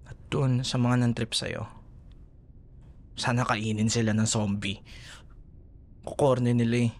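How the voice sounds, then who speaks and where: Slow, soft-spoken Filipino boyfriend played by a man. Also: mid-range voice, flat delivery. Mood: tender, sleepy comfort. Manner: soft, soothing, tender, murmuring with shushes. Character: gentle, protective young boyfriend comforting a scared partner. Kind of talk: intimate ASMR boyfriend roleplay.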